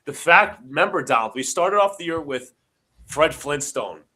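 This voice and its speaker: A man's voice: fairly high pitch, moderate pace. A man hosting a live stream, calling basketball play-by-play and chatting with his co-host, sounding congested from allergies. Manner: excitable, shouts on big plays. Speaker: livestream host doing play-by-play. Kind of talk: live watch-along play-by-play commentary.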